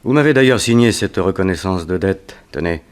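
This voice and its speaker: An actor playing a smooth-talking, menacing blackmailer with an urbane, insistent manner, in a 1960s French radio drama. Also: male voice, sits low, lively intonation, brisk, a little hiss or hum. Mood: pressing, threatening. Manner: urbane, insistent, coolly mocking.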